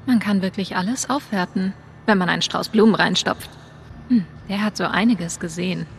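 An actress as a wry, friendly young woman in a narrative game. She talks casually and dryly in a medium-pitched voice, as if thinking aloud or chatting. Faint ambience sits behind her. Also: moderate pace, gravelly, slight background noise, lightly acted. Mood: wry, musing. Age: young adult. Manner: dry, casual. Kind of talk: naturalistic video game dialogue and inner monologue.

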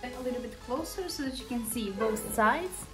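A young woman chats through a makeup tutorial, her voice bright and high. She speaks to viewers casually, with plenty of fillers and restarts. The room rings with echo, and faint music sits behind her. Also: lively intonation, normal speed. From Serbia. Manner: chatty, many fillers, speaks to viewers directly. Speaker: beauty vlogger. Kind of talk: makeup tutorial talk to camera. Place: small indoor room with shelves.

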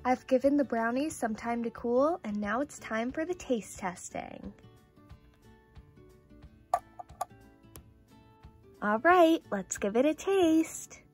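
A woman's voice: on the high side, expressive, a little slow, soft background music. A woman voicing a cheerful doll girl in a scripted toy play video, sweet, enthusiastic and instructional. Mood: delighted. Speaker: toy channel host.

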